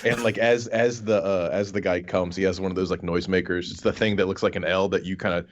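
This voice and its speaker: A man's voice: deep voice, fairly even intonation, fast talker, muffled recording. A man co-hosting a podcast in casual comedic chat, explaining absurd things in a mock-earnest, matter-of-fact way. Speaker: podcast co-host.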